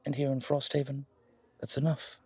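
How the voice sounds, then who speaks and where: A man's voice: medium pitch, flat delivery, moderate pace, a little mellow, breathy edge, no room sound, close to an everyday voice. A man playing a charming, flirtatious male museum guide in an audio roleplay, chatting intimately with genteel, hesitant asides. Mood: earnest, firm. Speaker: character performer in an audio roleplay. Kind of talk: intimate ASMR roleplay monologue, conversational.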